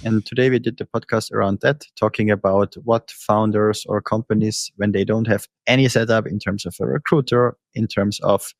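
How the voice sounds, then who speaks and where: Measured man hosting a podcast, dry sound. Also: on the low side, fairly even intonation, gravelly, dry recording. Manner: measured, reflective, frequent ums. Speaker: podcast host. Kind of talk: conversational interview.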